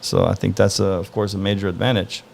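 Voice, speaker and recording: A man from Norway answering interview questions in a relaxed, thoughtful way, pausing often to find his words. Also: deep voice, creaky. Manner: relaxed, thoughtful, frequent "uh" pauses. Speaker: interview guest.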